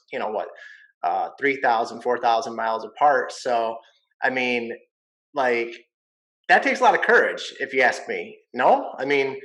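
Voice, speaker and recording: A man's voice: medium pitch, a bit bright, low-quality recording. A man from Michigan hosting a casual video-call interview, easygoing and jokey, often saying "man" and "you know". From Michigan, USA. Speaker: podcast host, realtor.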